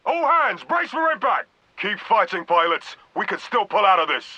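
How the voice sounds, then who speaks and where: An actor playing a stern, commanding vice admiral in a video game, giving clipped, authoritative orders over a radio comms filter. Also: male voice, sits high, big pitch swings, moderate pace, sharp tone, no echo, heavily acted. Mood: urgent, shouting. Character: stern military vice admiral, grave, commanding fleet officer. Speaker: military commander character. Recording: dull band-limited radio sound.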